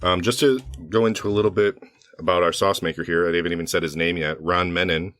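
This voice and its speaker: A very low-pitched man talking on a podcast, his voice a little hoarse. He rambles casually with fillers and restarts. The sound is dry and close to the mic. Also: fairly even intonation, quick pace, fairly bright tone, dry recording. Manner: rambling, with frequent fillers and self-corrections. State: slightly hoarse from allergies. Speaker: podcast co-host.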